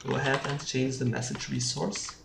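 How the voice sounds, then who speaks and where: A presenter giving an unscripted screencast coding tutorial, haltingly thinking aloud while typing. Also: medium pitch, very animated. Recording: roomy, distant mic with clear background noise. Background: keyboard typing and mouse clicks.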